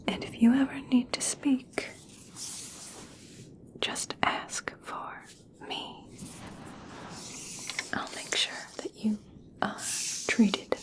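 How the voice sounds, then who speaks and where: Woman doing elf princess asmr, soft slow whisper, kinda high, soothing and royal, coaxing. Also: fairly even intonation, poor audio. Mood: gracious, soothing. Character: regal elf princess, gracious, coaxing, subtly conditional kindness. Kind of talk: whispered ASMR personal attention roleplay.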